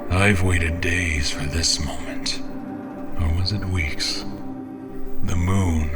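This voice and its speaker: A man's voice: deep voice, vocal fry, exaggerated voice. A man playing an obsessed, menacing, half-mad champion in a theatrical monologue, grandiose and brooding, with whispery breaths.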